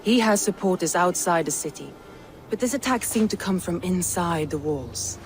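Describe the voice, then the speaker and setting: An actress voicing a proud, resolute Norse warlord in a video game cutscene, speaking in a measured, guarded way. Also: female voice, lowish voice, lively intonation. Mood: determined. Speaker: Norse lord of a city.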